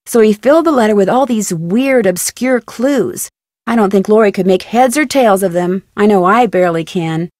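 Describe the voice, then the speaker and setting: Game role: level-headed friendly young female detective , voiced by an actress, medium pitch, no room sound. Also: normal speed, a little mellow, dry recording, studio quality, lightly acted. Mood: explaining. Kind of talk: video game voice acting.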